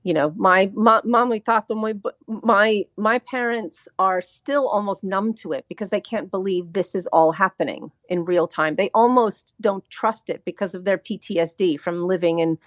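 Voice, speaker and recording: An American woman who grew up speaking Ukrainian, a podcast guest talking in an earnest, halting way with frequent restarts. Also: medium pitch, dry recording, studio quality. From United States. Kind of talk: reflective podcast talk.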